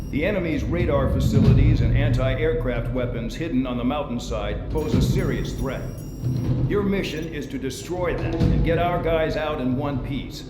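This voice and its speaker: An actor playing a smug, contemptuous military commander giving a video game mission briefing, sneering and authoritative. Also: male voice, a little high, lightly acted. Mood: stern, contemptuous. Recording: echoey hall, loud music underneath. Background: loud music.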